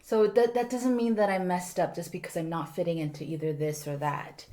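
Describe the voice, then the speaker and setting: A woman's voice: lowish voice, fairly quick. A woman in her thirties from South Korea, a native Korean speaker, chatting reflectively as a podcast guest. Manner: reflective, animated, sprinkles in Korean words. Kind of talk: casual podcast chat.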